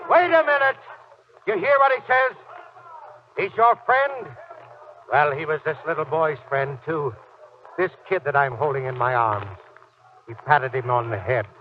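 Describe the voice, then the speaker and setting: An actor playing a gruff, stubborn ex-Marine father with a blustery, folksy manner, in 1940s radio acting style. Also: fairly high pitch, expressive, a little slow, long pauses. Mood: bitter, accusing. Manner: blustery, folksy, plain-spoken. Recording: noisy, dull 1940s radio recording.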